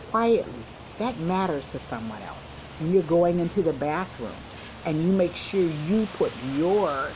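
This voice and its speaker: A woman school counselor speaking to young children in simple, encouraging words with deliberate emphasis, as in a read-aloud lesson. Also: lowish voice, noisy background.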